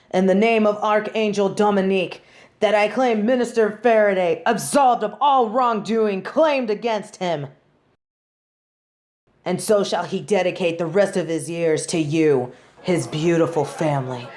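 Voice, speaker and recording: A woman's voice: on the low side, darkish tone, lightly acted. A woman playing a haughty, righteous angel judge in a scripted audio drama, speaking in formal, imperious, theatrical declamation. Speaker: voice actor.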